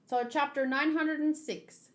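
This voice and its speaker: Female streamer, slightly high voice, very unhurried delivery, playful and expressive casual chat. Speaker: streamer hosting a manga read-along. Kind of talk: casual livestream chat mixed with animated read-aloud.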